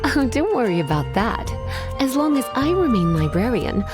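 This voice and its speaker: Game role: flirty, teasing female librarian, high lilting voice, coy delivery, clear music underneath. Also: big pitch swings. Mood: composed, confident. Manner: teasing, coy, drawn-out phrasing. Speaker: game voice actress. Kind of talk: video game character dialogue.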